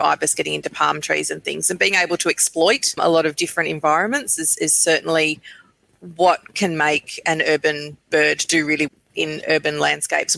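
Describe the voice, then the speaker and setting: A woman's voice: deep voice, creaky, bright, forceful tone, no echo. An Australian woman explaining things as an expert guest in a panel discussion, conversational and informative. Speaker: bird program manager, expert panel guest.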